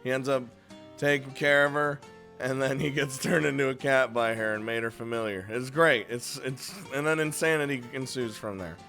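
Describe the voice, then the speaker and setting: A man's voice: medium pitch, steady tone, normal speed, gravelly, sharp tone, soft background music. A man hosting a casual, unscripted countdown of games, chatty and crude, swearing freely. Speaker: video host and game reviewer.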